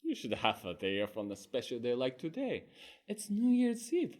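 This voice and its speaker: A man voicing a fishmonger's wife in a one-performer comic tale, scolding her drunken husband in a sharp, exasperated manner. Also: medium pitch, wildly swooping pitch, moderate pace. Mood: gentle.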